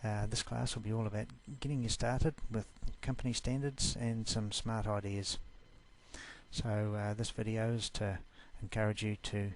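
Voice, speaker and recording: A man from New Zealand with a New Zealand accent, giving an easygoing, informal screencast walkthrough of a software class. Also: fairly low pitch, little pitch movement, moderate pace.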